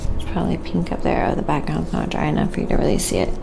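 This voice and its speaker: A soft-spoken woman chatting casually and quietly as she narrates what she is doing in an ASMR livestream, in an unhurried way. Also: deep voice, sing-song. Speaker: livestreaming craft painter and host. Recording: clear background noise, windy ambience. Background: wind howling.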